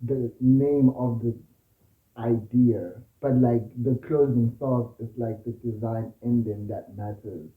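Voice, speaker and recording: Guest talking slow and unsure, roomy echo, phone-like audio. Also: mid-range voice, fairly even intonation. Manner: easygoing, hesitant, many fillers when explaining ideas. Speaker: podcast guest. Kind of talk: casual chat. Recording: echoey room, phone-like sound.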